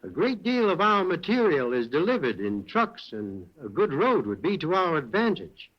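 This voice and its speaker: A stammering nervous mill manager. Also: male voice, on the high side, sing-song, normal speed. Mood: uneasy. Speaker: general manager. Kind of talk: 1940s radio acting. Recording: dull old radio recording.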